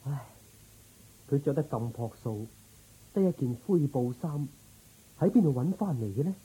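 An actor playing a dutiful, inquisitive military officer in a radio drama, with a musing way of talking. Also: male voice, medium pitch, expressive, normal speed, warm tone, breathy edge, low-quality recording. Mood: wistful admiration. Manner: musing, questioning.

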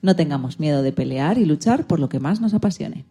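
A woman's voice: sits low, lively intonation, fairly quick, dark tone, breathy edge, faint music behind. A woman hosting a radio program, presenting from a script.

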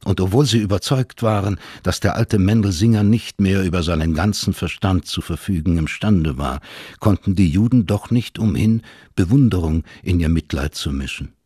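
A male narrator with a deep, husky voice reads literary prose. His storytelling is measured and sober, and the recording is very dry, as in a radio play. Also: mellow, no echo.

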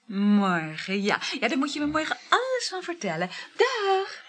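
An actress playing an impulsive, talkative, lovestruck woman in a naturalistic radio drama, effusive and eager, with a breathy voice. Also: high-pitched, wildly swooping pitch, measured pace, heavy background noise, heavily acted. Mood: excited, gossipy. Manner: effusive, full of exclamations.

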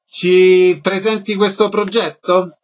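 A man's voice: high voice, flat delivery, slow pace, highs cut off. A man hosting a radio interview, putting concise questions and introductions to guests.

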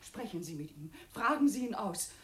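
An actress playing an anxious, genteel governor's wife in a radio drama, urgent and formal. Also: female voice, medium pitch, big pitch swings, normal speed, lightly acted. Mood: alarmed, urgent. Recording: roomy, noisy old radio recording.

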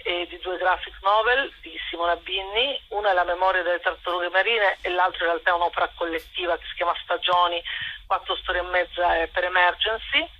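Husky, bright woman on dull line. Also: lowish voice. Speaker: interview guest, arts project organiser. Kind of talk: informal explanation in a radio interview. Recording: dull phone line on radio.

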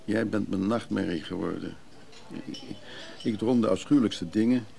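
An actor playing a guarded, grumbling older man, measured and brusque, in a radio drama. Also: on the low side, gravelly, mellow, slight background noise. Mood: troubled, agitated.

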